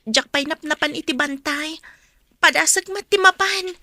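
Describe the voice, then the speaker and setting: A woman's voice: high-pitched, normal speed, bright, forceful tone, dry recording, studio quality. An actress playing a troubled, humble young woman in a radio drama, plaintive and earnest, with an Ilocano accent. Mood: polite, grateful.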